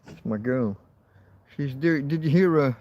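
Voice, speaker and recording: A man's voice: medium pitch, a little slow, dark tone, lo-fi sound. Sweary middle-aged man rambling casually. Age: 50s. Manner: sweary, blunt. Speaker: livestream host. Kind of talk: rambling casual livestream chat. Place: outdoors in a field.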